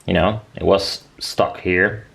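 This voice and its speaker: A man's voice: deep voice, fairly even intonation, slow, gravelly, fairly bright tone. A man giving a casual workshop demonstration as a DIY maker, rambling and thinking aloud as he goes. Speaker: DIY maker presenting a build video.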